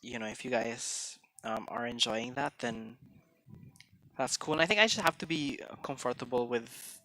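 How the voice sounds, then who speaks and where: Bright streamer man. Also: mid-range voice, dry recording. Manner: chatty, hesitant restarts, mixes in Tagalog phrases; tends to talk fast. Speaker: game streamer. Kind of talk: casual live-stream chat.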